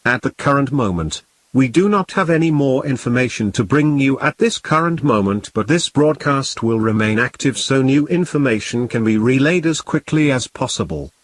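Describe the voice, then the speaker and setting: A man's voice: mid-range voice, animated, no room sound, natural voice. Formal emergency broadcast announcer, dry recording, acted by a man. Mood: grave, formal. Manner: formal, measured, solemn. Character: calm, grave male emergency broadcast announcer in a sci-fi scenario. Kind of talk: scripted emergency broadcast narration.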